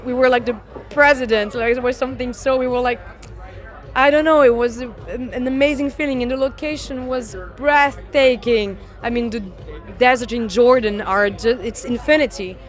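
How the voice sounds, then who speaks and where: A woman's voice: sits high, fairly even intonation, moderate pace, a faint crowd. A woman whose first language is French, speaking hesitantly in an interview and searching for words. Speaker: actress being interviewed.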